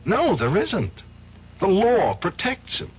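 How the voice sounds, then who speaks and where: An actor playing a troubled, guilt-ridden lawyer who drinks, in an old radio drama. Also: male voice, sits high, wildly swooping pitch, slow pace, slightly rough, exaggerated voice. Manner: anxious, pleading. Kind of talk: 1970s radio drama acting. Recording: dull old radio broadcast.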